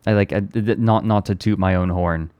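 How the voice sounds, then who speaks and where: A man's voice: sits low, a little fast, a little mellow, smooth, no echo, dull audio. A young man chatting casually on a stream, often stammering and repeating his words. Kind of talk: casual chat.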